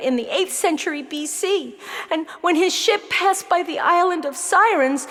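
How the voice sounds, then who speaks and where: A woman's voice: extremely high pitch, fairly bright tone. A woman playing an emotional, brainy nine-year-old girl in a children's audio play, melodramatic and pleading. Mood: anxious, pleading. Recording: long reverb on the voice.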